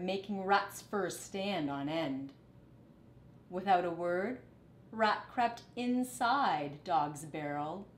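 A middle-aged woman reads a picture book to children in a teacherly way. Her pitch moves expressively and her pace is measured. The sound is echoey, like a living room. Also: slight background noise. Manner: teacherly, dramatic phrasing. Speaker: storybook reader. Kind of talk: expressive children's read-aloud.

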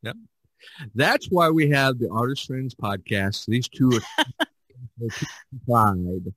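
A man hosting a podcast, chatting casually and haltingly, often repeating words. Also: medium pitch, a bit bright, husky, no echo, low-quality recording. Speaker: podcast host. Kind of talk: casual chat.

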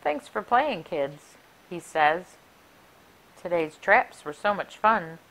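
A middle-aged woman reading a rhyming picture book aloud for children in an expressive, deliberate, sing-song way. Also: lowish voice, low-quality recording. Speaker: library story time reader. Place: small furnished room indoors. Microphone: clip-on lapel mic.

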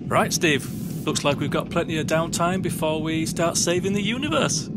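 A man's voice: a little high, moderate pace, loud music behind the voice, lightly acted. A man playing a flippant, easygoing spaceship captain in a sci-fi audio drama, informal and wisecracking. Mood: wry, casual. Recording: loud spaceship engine hum behind.

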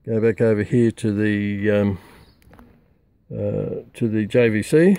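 An older Australian man casually demonstrating radios outdoors in a garden, relaxed and rambling with many fillers. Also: fairly low pitch, slow pace, creaky, clear, resonant tone, dry recording. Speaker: radio hobbyist presenting receiver comparison. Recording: noisy outdoor recording.

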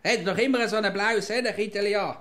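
An actor playing a mocking, blustering middle-aged husband with a Swiss German accent in a comic radio play. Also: male voice, high voice, quick pace, a bit bright. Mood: amused. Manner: blustering, repetitive, sarcastic. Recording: old radio play with music behind.

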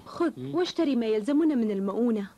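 High-pitched cartoon peasant wife, mellow, slightly husky, dutiful, firm, earnest. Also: female voice, animated, quick pace. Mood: caring, earnest. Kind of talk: cartoon dub acting.

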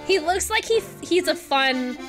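A young woman reacting casually on a live stream, excitable and gushing. Her voice is high and very lively in pitch, with faint music behind it. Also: unhurried. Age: young adult. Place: small indoor streaming room. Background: faint music from the video being watched.

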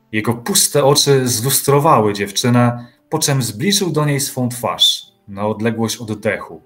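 A man narrating an audio drama in an even, measured literary reading. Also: mid-range voice.

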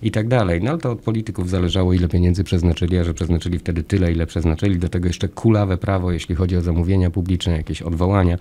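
A man's voice: sits low, steady tone, fast talker, hardly any pauses, mellow. A middle-aged man hosting a radio interview, asking long, rambling questions full of comparisons, at a studio desk mic in a small radio studio. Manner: long, discursive questions with analogies. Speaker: radio host. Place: small radio studio with orange acoustic panels. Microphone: studio mic on a desk arm, wearing headphones.

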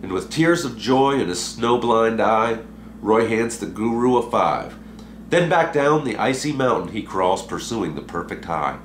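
A man's voice: on the low side, lively intonation, a little slow, slight background noise. A man giving a dramatic recitation of a rhyming story poem as its reader. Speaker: poetry reader. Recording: roomy, echoing home recording.

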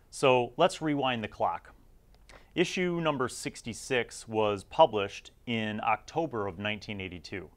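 A man's voice: lowish voice, fairly bright tone. A middle-aged man with a conversational, reflective manner, hosting a review series in casual commentary. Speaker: host of a gaming review series.

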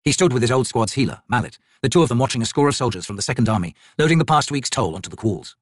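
A man's voice: medium pitch, animated, racing, no room sound, clean studio sound. A man, an actor, reading fiction aloud as dramatic scripted narration.

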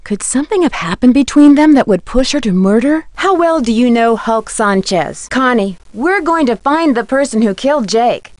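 A woman's voice: a little high, lively intonation, moderate pace, few pauses, a little mellow, dry recording, close to an everyday voice. An actress playing an earnest, inquisitive teenage girl detective in a video game, with a direct manner. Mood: probing. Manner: direct, questioning. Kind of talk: scripted game dialogue.

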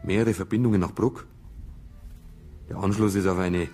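Bavarian detective, lowish voice, dry n serious, radio play, bit of music behind. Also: male voice, steady tone, normal speed, warm tone. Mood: businesslike. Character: earnest male detective, patient but easily irritated. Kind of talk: naturalistic radio drama acting.

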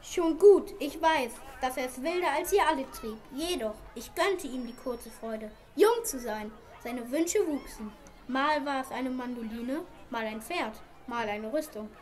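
A woman plays a wealthy merchant father in an amateur radio play. The voice is very high, proud and emphatic, declaiming like someone deeply aggrieved. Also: animated, a little slow, a little mellow, soft background music. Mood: reminiscing.

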